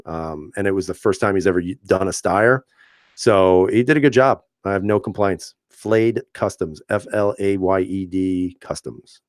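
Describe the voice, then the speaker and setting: A man hosting a casual live stream, reading viewer comments aloud and answering in a relaxed, chatty way with frequent fillers and easy laughs. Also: sits low, clear, resonant tone, dry recording, clean studio sound. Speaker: channel host reading live chat.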